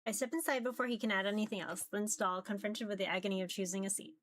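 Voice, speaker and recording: A woman reading a visual novel aloud in an expressive first-person narration, occasionally stumbling over words. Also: mid-range voice, fast talker, slight background noise. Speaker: VTuber reading a game aloud. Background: music.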